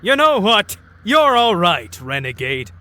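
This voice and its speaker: A man's voice: high-pitched, big pitch swings, unhurried, sharp tone, exaggerated voice. A man voicing a hearty, boastful Viking dwarf smith, cheerful and battle-hardened, in a fantasy fan dub. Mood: hearty banter.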